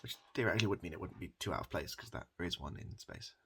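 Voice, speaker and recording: A soft-spoken, breathy British man improvising an ASMR comedy roleplay as a barber, rambling hesitantly with deadpan absurdity, repeated phrases and swearing. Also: sits low, racing.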